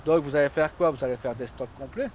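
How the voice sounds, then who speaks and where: A man's voice: medium pitch, fast, dull audio. Man chatting casually over noisy game sound. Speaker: live streamer playing a game. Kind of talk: casual chat while gaming, fillers.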